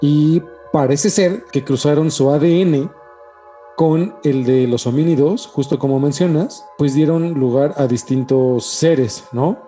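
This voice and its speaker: A man's voice: on the high side, a little slow, mellow. A man with a Mexican accent co-hosting a podcast, chatting casually with plenty of slang and swearing. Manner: slangy, profane. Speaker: podcast co-host. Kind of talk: casual chat. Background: music.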